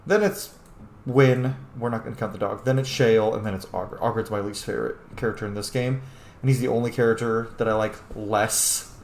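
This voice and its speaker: A man's voice: on the low side, steady tone, a little fast, a little mellow. A man giving casual, opinionated gameplay commentary in a chatty, informal way. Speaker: game streamer. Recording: roomy, echoing microphone sound.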